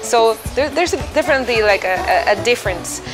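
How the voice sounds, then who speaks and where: A woman's voice: fairly high pitch, expressive, fast, low-quality recording. A woman in her thirties with a Greek accent, a musician answering interview questions thoughtfully, with many fillers and restarts. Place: dim restaurant or bar, indoors. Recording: soft music underneath.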